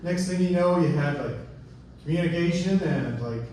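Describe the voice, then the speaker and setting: A middle-aged Canadian man performing self-deprecating stand-up to a live audience in a halting, informal way. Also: on the high side, a little mellow. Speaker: stand-up comedian. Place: live comedy venue with audience. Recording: echoey live room, distant mic, audience noise.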